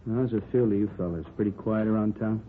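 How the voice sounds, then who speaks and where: An actor playing a businesslike, authoritative police captain in a vintage radio crime drama. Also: male voice, fairly low pitch, quick pace, close to an everyday voice. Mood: brisk, questioning. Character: brisk, cautious male police captain in charge. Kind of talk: 1950s radio drama acting. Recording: tinny, noisy vintage radio recording.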